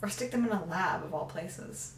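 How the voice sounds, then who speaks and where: An actress as a guarded young woman in an audio drama, blunt and dryly understated, a little halting. She sounds set back from the mic in a roomy space. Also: fairly low pitch, animated. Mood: dry, uneasy. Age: young adult. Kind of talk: naturalistic audio drama dialogue. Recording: roomy, distant mic, faint noise.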